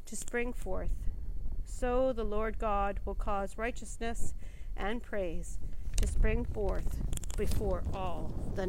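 Woman doing a solemn bible reading, really slow n measured, outside w traffic noise. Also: medium pitch. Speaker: scripture reader. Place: outdoors in a park. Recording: noisy outdoor ambience with vehicle sounds.

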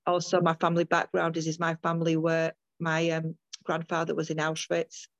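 An older woman from the UK speaks in a low, fairly flat voice, with frequent ums and restarts as she talks through her midwifery work. The sound is dry and a little dull, like a call recording. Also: a little fast, no echo. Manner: earnest, many restarts and ums. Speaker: guest midwife. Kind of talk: interview over a call.

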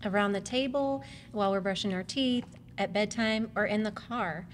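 A woman's voice: mid-range voice, moderate pace. A woman speaking as a mother and guest presenter, explaining things in simple family talk. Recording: reverberant room with background noise.

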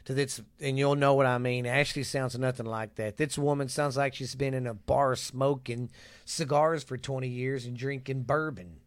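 A man's voice: mid-range voice, steady tone, normal speed, dry recording. A man with a drawl co-hosting a casual podcast, talking loosely and joking crudely. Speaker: podcast co-host.

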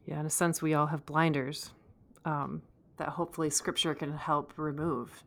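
A woman interviewing on a podcast, putting thoughtful questions together in careful, measured short phrases. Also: deep voice, level tone, fairly bright tone.

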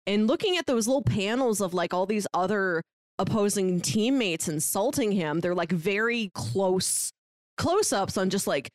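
A woman co-hosting a podcast, casually discussing a book in a reflective, analytical way, pausing to find words. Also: medium pitch, lively intonation, no room sound, studio quality. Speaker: podcast co-host.

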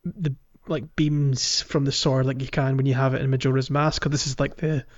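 A man's voice: mid-range voice, level tone. A man co-hosting a casual gaming podcast, chatty and jokey, in loose conversational talk. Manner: jokey, chatty, quick to laugh. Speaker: podcast co-host. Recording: dull, lo-fi voice recording.

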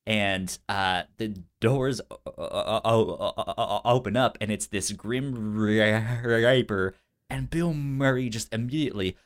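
A man's voice: fairly low pitch, takes it slowly. Man with a pronounced stutter, chatting casually. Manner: frequent stuttering, repeating sounds and syllables. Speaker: podcast co-host. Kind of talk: casual film discussion.

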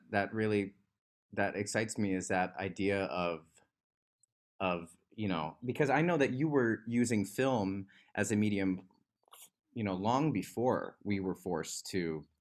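A man hosting a podcast interview, enthusiastic but halting, with many fillers. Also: on the low side. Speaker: podcast host, dance instructor.

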